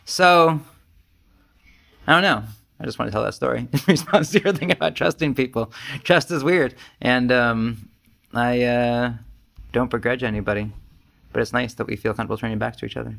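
A man's voice: mid-range voice, normal speed, gravelly, pauses often, a bit bright. A man speaking as a Zen teacher, giving a casual, conversational talk to a group in a rambling, self-deprecating way with joking asides. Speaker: Zen teacher and podcast host.

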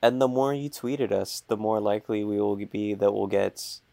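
A man's voice: fairly low pitch, normal speed, vocal fry, mellow, no room sound. A man in his twenties co-hosting a casual podcast with dry, teasing humor. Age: 20s. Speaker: podcast co-host.

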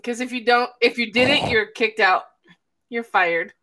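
A woman's voice: a little high, a little slow, pushed and bright, low-quality recording. A woman from Illinois hosting a casual live chat, a little drunk, loose and laughing, swearing freely. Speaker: host.

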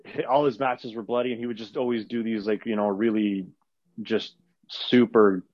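Low-voiced man, rambling answers. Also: little pitch movement, normal speed, darkish tone, no echo. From Canada. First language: Arabic. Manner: rambling, many fillers and restarts. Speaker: wrestler guest. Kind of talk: casual interview answers. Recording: dull lo-fi dry sound.

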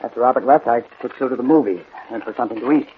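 An actor playing a defensive young American fiancé under suspicion, clipped and testy, in a 1940s radio drama. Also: male voice, mid-range voice, rapid-fire, lightly acted. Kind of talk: 1940s radio detective acting. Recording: muffled noisy 1940s radio broadcast.

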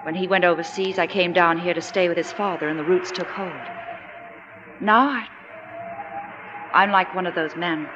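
An actress with an American accent playing an earnest, plucky young widow in 1940s radio style. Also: female voice, fairly low pitch, fairly even intonation, normal speed, pauses often, close to an everyday voice. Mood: wistful. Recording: muffled, noisy 1940s radio recording.

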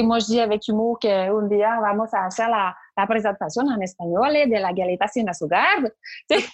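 A woman from Quebec with a Québécois French accent, an entrepreneur telling her story in an interview, lively and animated, often quoting her own inner voice. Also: on the high side. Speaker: entrepreneur guest. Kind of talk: interview conversation.

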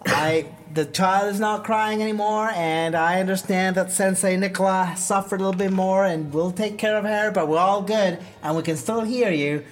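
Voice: medium pitch, fairly even intonation, moderate pace, few pauses, lightly acted. Swiss-accented commander, a player voicing him. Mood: questioning. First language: not English. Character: male military commander overseeing ninja trainees. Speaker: commander character. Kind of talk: tabletop roleplay in character.

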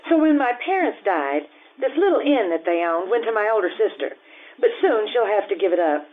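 An actress playing a blunt, warm-hearted Italian innkeeper in an old-time radio drama, earthy and frank in manner. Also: female voice, medium pitch, big pitch swings, a little fast, phone-quality sound, natural voice. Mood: warm, chatty.

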